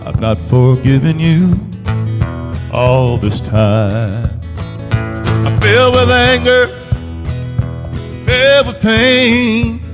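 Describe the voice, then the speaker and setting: A male singer-songwriter singing slowly in a classic country style. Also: sits high, music in the background. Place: live-streamed music show at a venue.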